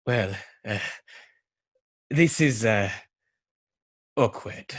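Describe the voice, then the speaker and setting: Actor as proud spider god, big and scary, haughty, husky, animated pitch, comic radio play. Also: extremely slow. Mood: awkward. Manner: formal, haughty.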